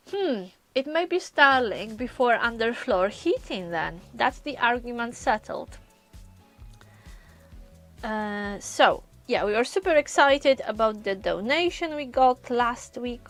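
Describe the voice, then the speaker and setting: A woman with a Polish accent chatting casually on a live stream, often pausing with hesitations as she answers viewers. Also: a little high. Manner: chatty, many hesitations, reads viewer comments aloud. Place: lives in a caravan on a UK campsite.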